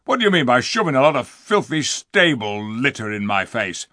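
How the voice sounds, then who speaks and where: Brief: man voicing a rude, scornful storybook dwarf, loud and sneering, lively swings in pitch. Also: normal speed, dry recording, studio quality. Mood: angry scorn. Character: surly gruff male dwarf, rude and scornful.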